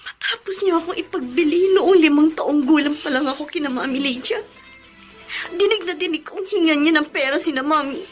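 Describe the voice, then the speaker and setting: A young adopted daughter voiced by a woman speaks in a high, heartfelt voice that runs through strong feeling. Soft music plays faintly under the scenes of this radio play. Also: fairly quick, close to an everyday voice. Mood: bitter, tearful. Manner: heartfelt and emotional. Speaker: Odessa, the daughter. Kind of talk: Filipino radio drama acting.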